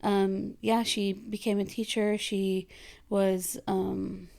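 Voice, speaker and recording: A woman from Afghanistan in her thirties, a guest speaking in a casual interview with many pauses and fillers. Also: medium pitch, little pitch movement, gravelly, a little mellow. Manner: hesitant, many ums and restarts. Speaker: guest, rug business founder.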